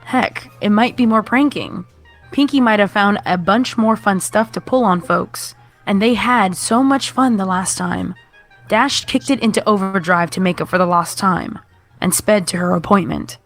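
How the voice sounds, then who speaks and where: A woman's voice: medium pitch, moderate pace. A woman in her twenties narrating a scripted story as a reader of fan fiction. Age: 20s. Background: background music.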